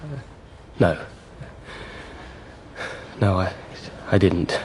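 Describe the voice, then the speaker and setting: A man's voice: low-pitched, lively intonation, extremely slow, a little mellow, a little hiss or hum, heavily acted. An actor playing an earnest, uneasy junior policeman in a radio drama, questioning and hesitant. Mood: hesitant, subdued. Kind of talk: naturalistic radio drama acting.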